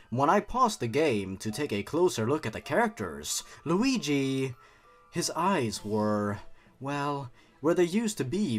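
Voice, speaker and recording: A man reading a horror story aloud theatrically, breaking off for sarcastic, mocking asides. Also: medium pitch.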